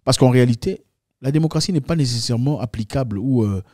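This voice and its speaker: A man's voice: medium pitch, fast talker, a little mellow, dry recording, clean studio sound. A man hosting an opinionated political discussion, speaking emphatically and repeating phrases for effect.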